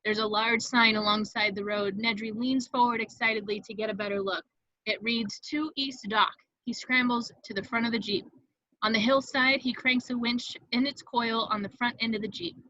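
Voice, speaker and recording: Woman narrator, scripted stage directions, higher pitch, very bright voice, vivid dramatic reading, dry slightly muffled recording. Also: fairly even intonation, moderate pace, dry recording. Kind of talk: scripted narration at a table read.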